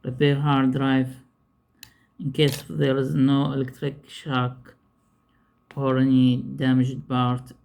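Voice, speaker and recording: A man who is not a native English speaker gives an unscripted hands-on repair tutorial, haltingly, repeating himself as he searches for words. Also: mid-range voice, completely flat delivery, gravelly, a little mellow.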